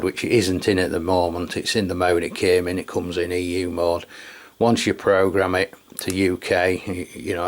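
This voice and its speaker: A middle-aged man giving a casual, chatty walkthrough of a radio, with frequent fillers and self-corrections. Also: sits low. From England. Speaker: radio equipment reviewer. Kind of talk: casual product review walkthrough.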